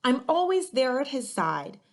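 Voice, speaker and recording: A woman with a fairly high voice hosts a read-aloud for children. She phrases things clearly, in the manner of someone telling a picture-book story to young listeners. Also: big pitch swings, a little slow. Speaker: museum educator and read-aloud host.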